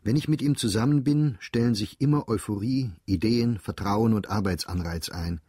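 Male narrator, husky and low, dry close studio sound, calm reflective diary reading. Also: no echo. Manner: measured, reflective, understated. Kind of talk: calm literary reading for radio drama.

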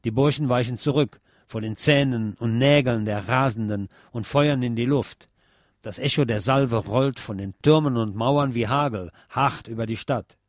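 A man's voice: medium pitch, normal speed, no echo. Man narrating, close and dry. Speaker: narrator and presenter of a radio series. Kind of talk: scripted literary reading and narration.

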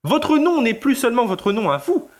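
A man playing a pompous, flattering crusading lawyer, speaking in a formal, oratorical audio drama style. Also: very high voice, normal speed. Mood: rousing, grandiloquent. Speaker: actor in an amateur audio drama. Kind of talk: theatrical French audio drama acting.

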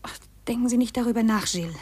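Breathy, hushed woman. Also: fairly high pitch, fast. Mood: anxious, soothing. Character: gentle, secretive aunt by marriage, softly spoken woman. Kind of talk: 1950s German radio play acting.

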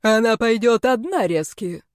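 A woman's voice: on the high side, expressive, unhurried, no room sound, clean studio sound. A woman voicing a sneering, contemptuous male magic-school rector who drawls and barks orders in an audiobook. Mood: imperious. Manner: drawling, imperious, barking.

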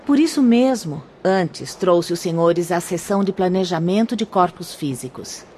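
Radio drama guide: actress as gracious young woman, slightly low voice, gentle formal teaching, faint ambience behind. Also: lively intonation, moderate pace, slight background noise, close to an everyday voice. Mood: gracious, instructive. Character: Rosália, young spiritual guide, kind, instructive, gracious.

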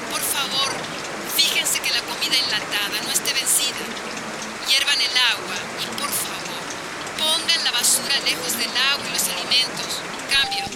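An actress playing a firm, caring doctor giving emphatic instructions by two-way radio in a drama. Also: female voice, sits high, moderate pace, natural voice. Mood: firm, instructive. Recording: loud rainy noise behind voice.

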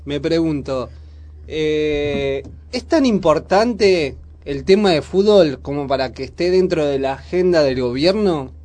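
A man's voice: on the high side, animated, measured pace, a little mellow. A man with a Buenos Aires accent chatting casually about current affairs as a radio host, informal and full of questions. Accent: Buenos Aires Argentine.

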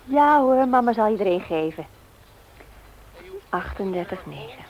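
An actress playing a caring, gently nagging wife and mother in a Dutch radio drama, coaxing and firm. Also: medium pitch, animated, unhurried, lo-fi sound. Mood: soothing. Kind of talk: Dutch radio play acting, domestic scene.

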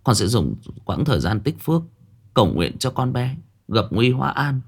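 A heartbroken young mother, as a male narrator puts her on, pleading in a husky voice whose pitch swings widely. She stays humble and respectful throughout. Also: sing-song, moderate pace.

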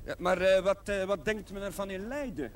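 Higher-voiced, earnest young chaplain played by a man. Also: normal speed, close to an everyday voice. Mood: polite, hesitant. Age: 30s. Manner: hesitant, musing, searching for words. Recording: television drama with faint hiss.

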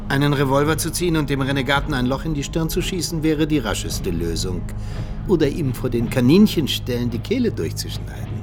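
A man plays a ruthless secret-service mentor in a radio play. His delivery is deliberate and commanding, with lively swings in pitch and a conspiratorial edge. Music runs clearly underneath. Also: close to an everyday voice. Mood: cold, calculating. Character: ruthless Soviet agent mentor, coldly persuasive commander. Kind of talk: radio drama acting.

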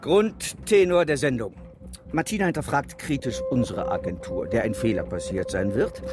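A man's voice: medium pitch, close to an everyday voice. A man acting in a German radio drama as a slick, ruthless PR agency boss who talks in glib corporate spin. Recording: music under the voice.

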